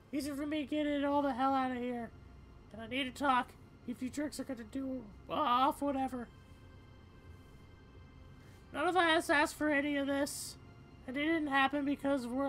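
Woman, high voice, game player performing dialogue conversationally, music faintly behind. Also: a bit bright. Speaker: game streamer voicing characters. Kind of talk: expressive reading of game dialogue.

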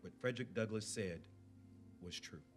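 Male reader, lower register, declamatory verse at a podium mic, faint music underneath. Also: moderate pace. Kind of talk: poetry recitation. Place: indoor event room at a podium. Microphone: podium microphone on a stand. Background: faint background music.

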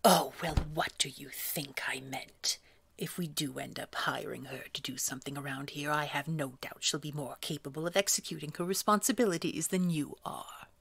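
A woman playing a poised, sinister boss in an audio roleplay, speaking formally in a hushed, husky, measured and quietly controlling way. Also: low voice, exaggerated voice. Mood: sardonic. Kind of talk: scripted audio roleplay acting.

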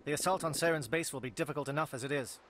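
An actor playing a precise, formal alien captain in a video game, brisk and clipped. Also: male voice, a little high, lightly acted. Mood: businesslike. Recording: noisy game ambience behind.

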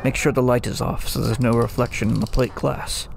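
A man's voice: mid-range voice, flat delivery, fairly quick, gravelly, background noise, natural voice. A man playing a brooding, poetic old lighthouse keeper in an audio drama, musing like a storyteller. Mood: haunted. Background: music.

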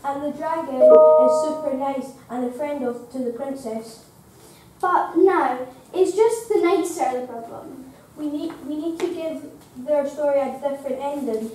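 A young student playing a cheerful, friendly dragon who comments eagerly on the story in a school play. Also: female voice, high voice, mellow, slight background noise, close to an everyday voice. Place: school drama room, indoors. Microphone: far camera. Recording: very echoey room from far off.

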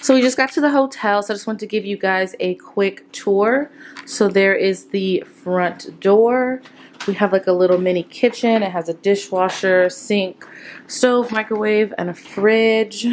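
A woman's voice: medium pitch, a little slow, a little hiss or hum. A young woman in her twenties giving a casual travel vlog tour of a hotel room, filming on a phone held at arm's length. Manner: chatty, listing things offhand. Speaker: travel vlogger.

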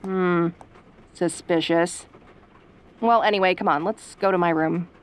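A woman playing a sassy, easily flustered young tomboy girlfriend, sarcastic and haltingly defensive, in an audio roleplay. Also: fairly low pitch, fairly even intonation, slight background noise, natural voice. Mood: defensive, suspicious.

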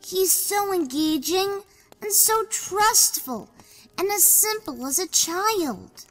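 A woman's voice: very high voice, lively intonation, slow pace, full character voice. A woman playing an earnest, outspoken young village boy in an audiobook drama, with chatty, old-fashioned phrasing. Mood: exasperated, fond. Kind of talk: amateur audiobook drama acting. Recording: long hall reverb, faint music.

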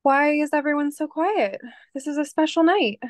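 A woman's voice: sits high, lively intonation, normal speed. A young woman playing a cautious grown daughter who hides heartbreak behind good wishes, in a screenplay table read. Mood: forced cheer.

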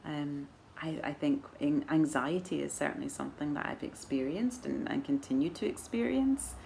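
A woman in her forties with a soft Edinburgh Scottish accent, chatting to camera at home and answering viewer questions in a thoughtful, measured way with gentle laughs. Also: low-pitched, gravelly, a little hiss or hum. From Scotland. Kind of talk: casual chat to camera. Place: living room at home, indoors. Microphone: camera in front at arm's length.

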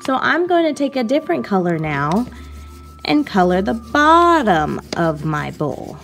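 A woman art teacher giving a slow, patient, step-by-step lesson to children over a video of her hands at work. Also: medium pitch, very animated, creaky, fairly bright tone.

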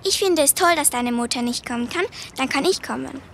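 A woman's voice: sits high, fairly quick, vocal fry, slight background noise. A young woman acting a blunt, nosy girl detective in an amateur radio play. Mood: eager, picky.

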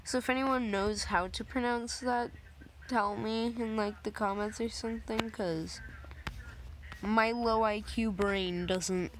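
A young woman casually commenting on a driving game, voice a little raspy from just waking up, rambling in a playful way. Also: fairly high pitch, fairly even intonation, slow pace, noisy background. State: slightly raspy, just woken up. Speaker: gameplay video maker. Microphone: phone screen recorder.

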